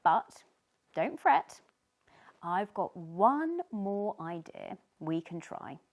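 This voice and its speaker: Bossy, helpful tiny storybook fish, played by a woman: encouraging, highly animated delivery. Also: extremely slow, exaggerated voice. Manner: encouraging, coaxing. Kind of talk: children's storybook character voice.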